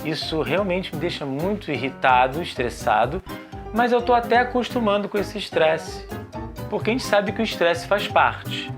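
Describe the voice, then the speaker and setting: A man's voice: high voice, expressive, moderate pace. Brazilian man chatting over music. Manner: conversational, chatty, addresses viewers directly. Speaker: psychologist hosting an educational video series. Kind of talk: informal talk to camera about emotions.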